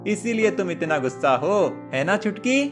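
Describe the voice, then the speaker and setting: A high, youthful big-brother prince, acted by a man. He is teasing yet patient as he explains, in cartoon-story style with light music behind. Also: animated, normal speed. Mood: caring. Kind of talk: cartoon moral story acting.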